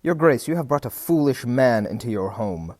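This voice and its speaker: Man playing a proud, brash, animated captain. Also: medium pitch, moderate pace, natural voice. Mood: scornful. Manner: haughty, formal period speech. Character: proud, brash, disdainful military captain and husband. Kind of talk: audio drama acting.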